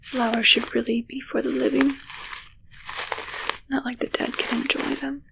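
A young woman playing a haughty, possessive witch in a whispered ASMR roleplay, hushed, teasing and unhurried, close to the microphone. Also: high-pitched, flat delivery, gravelly, heavy background noise. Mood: wry, teasing. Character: possessive, haughty female witch toying with her familiar. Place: small dark draped room indoors.

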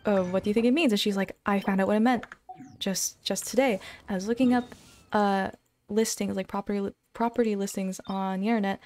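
A young adult woman chatting casually on a game livestream, rambling in an informal, expressive way. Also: mid-range voice, brisk. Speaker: game streamer. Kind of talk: casual livestream chat over gameplay. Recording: game music behind the voice.

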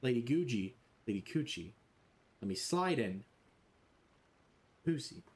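A man's voice: medium pitch, big pitch swings, measured pace. A young man streaming a game from a small room, joking crudely and rambling with his chat. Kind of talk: live game stream commentary.

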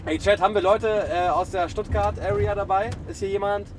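A man's voice: high voice, steady tone, brisk. A man hosting a livestream from behind the wheel, chatting casually and teasing his guests. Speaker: host driving guests in a livestream. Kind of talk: casual joking chat. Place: inside a van driving through a city. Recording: noisy moving vehicle, road noise.

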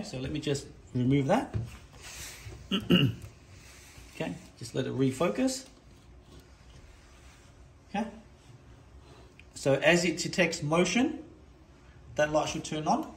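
Male product demonstrator, slightly high voice, halting and repetitive, echoey noisy room. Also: expressive. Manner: halting, repetitive, addressing viewers as guys. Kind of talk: unscripted product demo. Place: indoor room, desk demo. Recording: echoey room with background noise.

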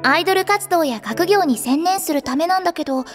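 An earnest, cheerful teenage idol girl in an anime voice drama. Her voice is extremely high and sweet, and she narrates expressively over music. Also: lively intonation, brisk, in a large hall. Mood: bright, gentle. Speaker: narrator and lead character. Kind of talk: poetic Japanese anime audio drama narration. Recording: music behind the voice.

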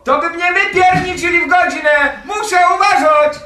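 An actor in a Polish radio comedy playing a laconic, easygoing handyman, unhurried and matter-of-fact. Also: extremely high pitch, sharp tone, roomy sound. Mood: grumbling, busy.

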